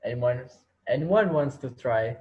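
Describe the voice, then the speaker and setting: Sinister teacher played by a man, taunting and theatrical, middling pitch, muffled amateur drama sound. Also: animated, a little slow, lightly acted. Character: sinister male teacher taunting captive students, mockingly playful. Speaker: actor in a student reader's theater.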